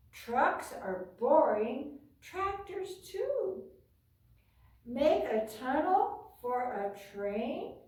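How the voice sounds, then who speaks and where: An American woman reading a picture book aloud to young children as a storytime host, with expressive phrasing and chatty asides, recorded indoors by a camera some way off. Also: high voice. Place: indoors, bare carpeted library room with painted wall. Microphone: camera several metres away. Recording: echoey room, distant camera microphone.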